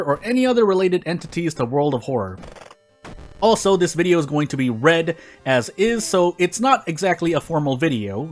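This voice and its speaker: Man reading a story aloud over music. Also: a little high. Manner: stumbles over words and rereads phrases. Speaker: fan fiction reader on a gaming video. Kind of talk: informal read-aloud of a story.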